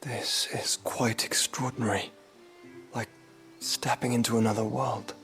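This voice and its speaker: A man's voice: medium pitch, level tone, a little slow, a bit bright, soft background music. Scholarly male companion: middle register, courteous, eloquent, thoughtful, fantasy game drama. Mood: awed. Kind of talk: dramatic video game cutscene acting.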